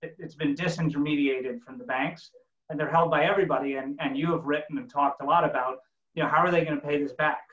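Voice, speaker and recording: A middle-aged man moderating a panel over a video call, putting wordy, halting questions in a conversational way. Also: fairly high pitch, steady tone, a bit bright. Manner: wordy, halting, frequent "you know". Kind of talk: conversational, long-winded questioning. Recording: roomy, dull video call audio.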